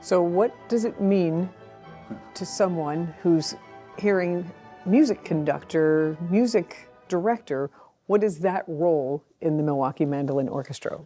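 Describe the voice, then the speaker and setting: A woman's voice: on the low side, a little slow, mellow, faint music behind. A woman interviewing guests with curious, friendly questions.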